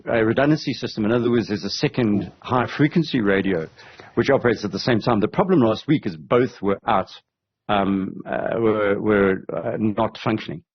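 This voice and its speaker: A man in his fifties gives measured, halting explanations as an interview guest. His voice is fairly low and husky. It comes over a video call with a slightly dull, lo-fi sound. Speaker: aviation commentator and interview guest. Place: indoor room on a video call. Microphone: headset on a video call.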